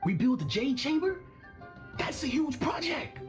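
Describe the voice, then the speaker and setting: An actress playing an eager, scheming merchant in a video game, polite and coy. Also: female voice, sits high, animated, unhurried, soft background music. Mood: amazed.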